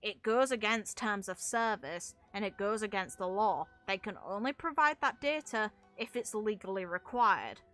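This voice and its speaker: A woman's voice: mid-range voice, a bit bright. A woman giving casual, opinionated game commentary, speaking bluntly and informally as a video creator. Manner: blunt, informal, mild swearing. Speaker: gaming video creator and streamer.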